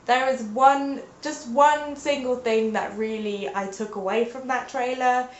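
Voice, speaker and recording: A young woman chats at home in a loose, rambling vlog style full of "like"s and playful bursts. Her voice sits a bit high and sounds congested from a sinus infection. The recording has a noticeable roomy echo. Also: steady tone, unhurried. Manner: rambling, peppered with "like", playful exclamations. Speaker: vlogger. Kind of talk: casual chatty video talk. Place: indoors at home.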